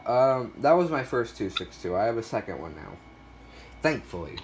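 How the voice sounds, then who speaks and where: A man's voice: mid-range voice, big pitch swings, normal speed, a little hiss or hum. A man talking casually and off the cuff as he shows items in a collection, a bit self-deprecating. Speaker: collector showing a weather radio collection. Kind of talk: casual unscripted show-and-tell.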